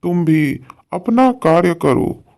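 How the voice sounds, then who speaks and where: A man's voice: a little high, animated, takes it slowly, creaky, a little mellow, heavily acted. A man voicing a stern, wise holy hermit in a cartoon story, solemn and admonishing.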